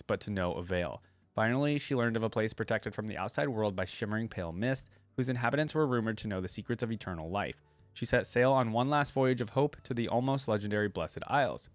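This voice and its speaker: A man's voice: medium pitch, dry recording. A man hosting a reaction video, moving between casual commentary and reading aloud.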